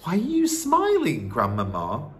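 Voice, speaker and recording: A man's voice: on the high side, huge pitch swings, a little slow, a bit bright, heavily acted. A man voicing an anxious, eager young boy who has been turned into a mouse, exclaiming and questioning in a storybook reading.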